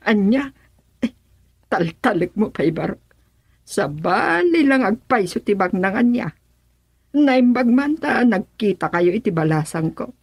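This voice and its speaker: A woman's voice: mid-range voice, sing-song, unhurried, long pauses, husky, no echo, exaggerated voice. A woman playing a grateful, easily embarrassed elderly village mother in an Ilocano radio drama, speaking hesitantly. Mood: welcoming.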